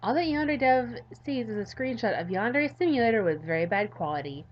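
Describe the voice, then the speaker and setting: A woman's voice: fairly high pitch, expressive, a little fast, dull audio, slight background noise. A tired woman reads a visual novel aloud in a let's-play, dropping in informal, playful asides. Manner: informal, playful, self-interrupting.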